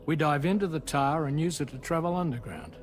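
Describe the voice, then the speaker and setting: An actor playing a genial, easygoing ship's captain and doctor in a video game. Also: male voice, fairly high pitch, fairly quick, soft background music, close to an everyday voice. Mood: friendly. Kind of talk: cinematic video game acting.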